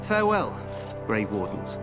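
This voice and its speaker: A man's voice: lowish voice, sing-song, measured pace, loud music behind the voice. An actor playing an eager, glory-hungry young king in a fantasy game, with a hearty, regal, declamatory delivery. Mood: boastful, wistful. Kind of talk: dramatic fantasy game voice acting.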